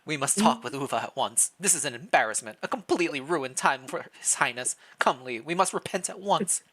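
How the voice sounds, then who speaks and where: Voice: mid-range voice, expressive, a little fast, a bit bright, breathy edge. A reader voicing a haughty, theatrical gothic princess who is easily scandalized, in a live story reading. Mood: dramatic dismay. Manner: theatrical, gasping, indignant.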